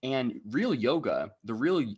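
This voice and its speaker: A young man being interviewed on a podcast who explains at length in a relaxed way and keeps tagging his sentences with quick checks for agreement. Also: mid-range voice, slightly rough. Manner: relaxed explaining, frequent tag questions. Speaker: podcast guest. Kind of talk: casual interview.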